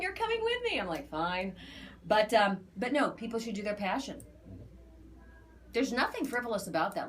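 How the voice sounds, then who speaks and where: An American woman explaining an aura reading to clients in a casual, emphatic, animated way, repeating phrases for emphasis. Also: mid-range voice, a little hiss or hum. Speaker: aura reader.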